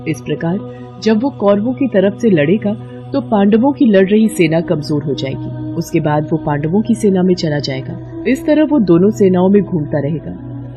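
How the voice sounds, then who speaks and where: A woman's voice: fairly low pitch, mellow, muffled recording. A woman narrator reading a scripted devotional story in a storytelling style.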